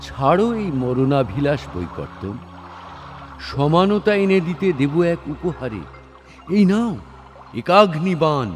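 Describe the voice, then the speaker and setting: A man's voice: a little high, big pitch swings, slow, pauses often. A man voicing a lofty, persuasive king of the gods in a grand, declamatory recitation of an epic verse drama. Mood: grand, persuading. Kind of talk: dramatic Bengali poetry recitation with dialogue. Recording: studio voice over background music. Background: background music.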